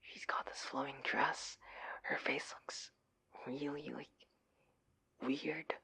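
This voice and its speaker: A man playing an anxious, adorkable husband in an intimate audio roleplay, speaking in a breathy, pleading manner. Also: lowish voice, moderate pace, a little mellow. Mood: panicked whispering. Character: adorkable, anxious, oblivious husband, affectionate and flustered.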